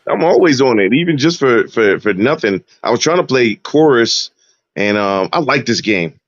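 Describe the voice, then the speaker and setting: A man chatting casually on an online gaming show, trading jokes and laughing often. His voice sits at an ordinary pitch and sounds dry and close. The sound is a little dull and muffled. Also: lively intonation, normal speed, no room sound. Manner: joking, laughs a lot. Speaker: co-host. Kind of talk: casual chat.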